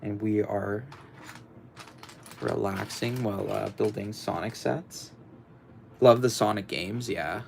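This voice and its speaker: A man's voice: fairly even intonation, takes it slowly, long pauses, a little hiss or hum. A young man hosting a casual livestream, talking in a rambling, hesitant way with lots of fillers and restarts. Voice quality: deep, gravelly voice. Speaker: livestream host. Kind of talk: casual livestream chat while building.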